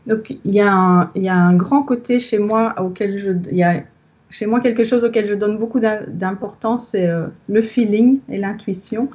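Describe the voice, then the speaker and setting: A woman's voice: medium pitch, moderate pace, darkish tone, highs cut off. A woman in her thirties from Belgium, giving a reflective interview testimonial and searching for her words as she speaks. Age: 30s. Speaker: interviewee, yoga teacher. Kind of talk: recorded testimonial conversation.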